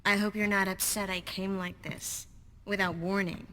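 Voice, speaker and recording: An actress playing an affectionate, worldly sorceress in a video game, speaking softly and teasingly to her lover. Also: medium pitch, little pitch movement, moderate pace, lightly acted. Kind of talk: video game cutscene acting.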